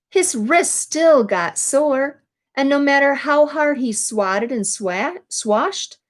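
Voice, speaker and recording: A woman reading a children's picture book aloud in an expressive, instructive way, adding explanations for young listeners. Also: fairly high pitch. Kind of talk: read-aloud storytelling with explanatory asides to children.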